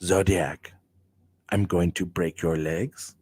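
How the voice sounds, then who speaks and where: A man putting on a low, hushed voice as a wrestler threatening a rival. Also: fairly even intonation, slow pace, breathy edge, no room sound, full character voice. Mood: menacing.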